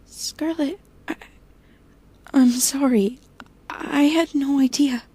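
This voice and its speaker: Woman acting anxious young woman, high-pitched, mellow tone, hesitant, audio drama dialogue. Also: fairly even intonation. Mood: subdued. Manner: hesitant, halting. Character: anxious young woman Ophelia, police chief's daughter, pleading.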